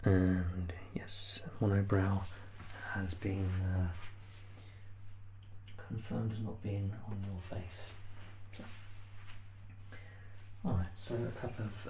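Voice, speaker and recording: An ASMR performer speaking in a soft, unhurried murmur that slips into breathy whispers, reassuring and gently playful in a personal-attention roleplay. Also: deep voice, a little hiss or hum, poor audio. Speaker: ASMR performer playing a measurer.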